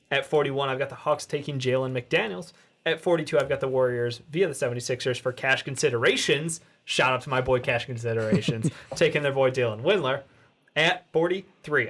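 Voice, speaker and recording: A man co-hosting a sports podcast, reading his draft picks fast with casual jokes. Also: mid-range voice, fairly bright tone. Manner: casual, joking, laughs mid-list. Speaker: podcast co-host. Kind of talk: rapid-fire mock draft reading.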